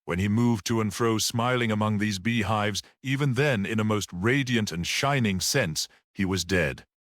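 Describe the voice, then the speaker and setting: A man voicing a small, composed priest detective in an audiobook story, speaking in a measured, reflective manner. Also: on the low side, fairly bright tone, no echo, clean studio sound, natural voice. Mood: earnest, reflective. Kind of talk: classic detective audiobook character reading.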